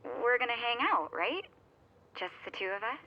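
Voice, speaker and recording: A woman's voice: a little high, animated, normal speed. An actress playing a shy but eager young woman speaking through a telephone filter in a video game. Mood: coy. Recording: muffled, noisy telephone line.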